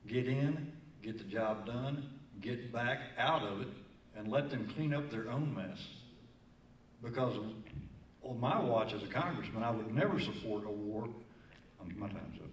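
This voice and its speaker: A man's voice: mid-range voice, unhurried, a little hiss or hum. Guy running for congress, fired up, keeps asking why, echoey hall sound. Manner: impassioned, rhetorical questions. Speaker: congressional candidate. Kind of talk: candidate forum answer. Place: community forum room. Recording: echoey room from far off.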